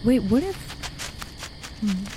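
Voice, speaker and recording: A woman's voice: a little high, sing-song, slow, warm tone, slight background noise, low-quality recording. A woman playing a stubborn, suspicious young hiker in an audio drama, earnest and insistent in a natural, conversational way. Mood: urgent, convinced. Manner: earnest, insistent, casual swearing.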